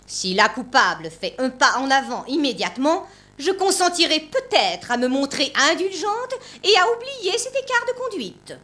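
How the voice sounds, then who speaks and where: A woman's voice: sits high, expressive, moderate pace, sharp tone. A woman voicing a stern, greedy, haughty headmistress in a cartoon drama, speaking in formal, severe phrases. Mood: cold, menacing. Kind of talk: animated drama dubbing.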